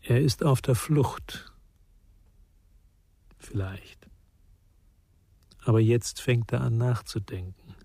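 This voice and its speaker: Husky, insistent therapist-sleuth. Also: male voice, mid-range voice, takes it slowly, long pauses, mellow, no echo. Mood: self-assured. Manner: insistent, certain. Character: probing, self-assured psychotherapist turned investigator. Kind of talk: German radio crime drama acting.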